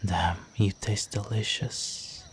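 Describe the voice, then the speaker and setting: Low, slow, seductive vampire gentleman, acted by a man. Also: fairly even intonation, a little hiss or hum. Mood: hungry, breathless. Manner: seductive, courtly, teasing, with breathy murmurs. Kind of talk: intimate roleplay audio drama.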